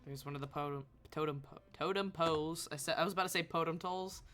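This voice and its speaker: A woman's voice: low-pitched, fast, a bit bright. A woman giving casual live commentary as a game streamer, reactive and opinionated, with some swearing.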